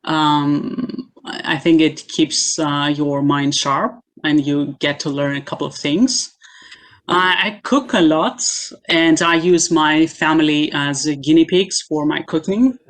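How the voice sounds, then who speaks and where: Low-voiced Greek-born woman, measured and hesitant. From Greece. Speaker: guest, assistant professor. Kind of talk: casual interview answers. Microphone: video call.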